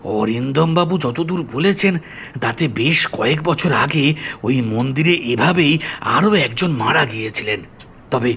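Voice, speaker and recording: Male actor as police officer, earnest, deferential, serious, audio drama, mid pitch, slight background noise. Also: fairly bright tone. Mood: respectful. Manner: explanatory, polite, serious. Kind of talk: audio story acting.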